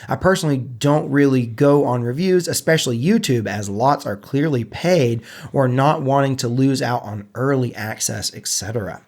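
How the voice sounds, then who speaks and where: A man co-hosting a casual gaming podcast, a little stuffed up from allergies, chatting in a relaxed, self-deprecating way. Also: medium pitch, normal speed, few pauses. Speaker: podcast co-host.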